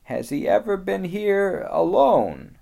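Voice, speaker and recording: A reader voicing a stern, wary male beaver who speaks with grave urgency, higher and louder than the narration. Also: big pitch swings, takes it slowly, gravelly, heavily acted. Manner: urgent, emphatic storybook delivery.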